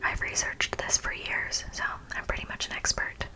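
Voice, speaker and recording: A young adult woman speaks in a breathy, unvoiced whisper, presenting ASMR in an informal, mock-serious way as the host. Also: normal speed, sharp tone.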